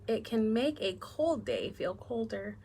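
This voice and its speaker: Higher-voiced woman teaching young children. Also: very animated, a little slow, gravelly, dark tone, a little hiss or hum. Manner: instructive, asks frequent questions to young children. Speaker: elementary school teacher. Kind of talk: children's read-aloud lesson, speaking directly to pupils.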